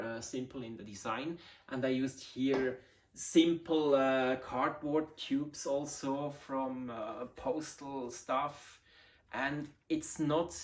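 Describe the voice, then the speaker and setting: A man in his forties, a Swiss German native speaker with a Swiss German accent, hosting a casual hobby video in halting English with many fillers as he searches for words. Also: medium pitch. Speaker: model rocket hobbyist, channel host. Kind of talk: casual show-and-tell video.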